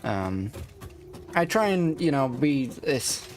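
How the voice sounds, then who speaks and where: A man's voice: mid-range voice, sing-song, slow pace. Laid-back man streaming, noisy background. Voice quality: soothing. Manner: laid-back, rambling, thinks aloud. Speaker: live streamer playing a factory-building game. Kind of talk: casual live-stream chat and gameplay commentary. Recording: clear background noise behind the voice.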